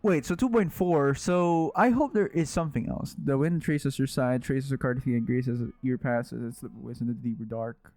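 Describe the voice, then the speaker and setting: A man doing casual live gameplay commentary, exclamatory and quick to swear. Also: mid-range voice, darkish tone, no room sound, soft background music. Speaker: game streamer.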